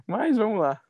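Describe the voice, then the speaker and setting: A man's voice: high-pitched, husky, no echo. Laid-back southern Brazilian man, dry sound. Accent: southern Brazilian Portuguese. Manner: relaxed, easygoing, drawn-out fillers. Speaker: professional athlete guest. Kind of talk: casual podcast conversation.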